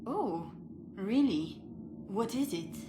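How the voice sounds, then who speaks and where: An actress playing a devout, secretive cleric sister in a fantasy game, speaking in an earnest, solemn way. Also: female voice, mid-range voice, lively intonation, slow, noisy background. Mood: flustered, curious. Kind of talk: dramatic fantasy game acting. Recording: echoey, loud background music.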